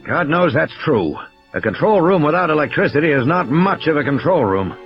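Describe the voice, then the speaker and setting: A man's voice: on the high side, sing-song, fairly quick. A man voicing a practical, pessimistic man who speaks tersely and urgently during an emergency in an audio play. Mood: wry, doubtful. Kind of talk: audiobook character dialogue. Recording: dull lo-fi audio, music behind.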